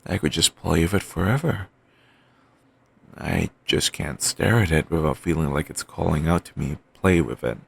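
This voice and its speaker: A man playing an obsessive, doting yandere boyfriend in an audio roleplay, talking softly and rambling on with endearments. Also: deep voice, vocal fry, breathy edge, full character voice. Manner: soft, murmuring, slow and rambling endearments.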